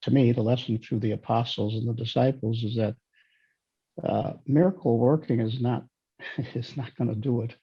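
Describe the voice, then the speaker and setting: A man sharing thoughts in a Bible study discussion, halting and searching for his words. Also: mid-range voice, steady tone, husky, muffled recording. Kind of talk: casual group discussion.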